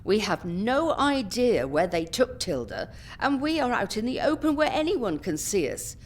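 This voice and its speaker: An actress playing a brisk, scolding old care-home ringleader in an audio drama. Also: female voice, mid-range voice, big pitch swings, slight background noise. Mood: exasperated.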